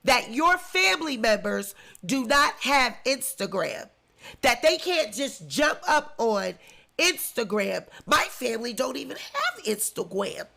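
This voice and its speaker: Congested woman ranting, high gravelly voice, slow and emphatic. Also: very animated, pushed and bright. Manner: indignant, emphatic, repetitive. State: congested with flu. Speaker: commentary vlogger. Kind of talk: opinionated celebrity commentary.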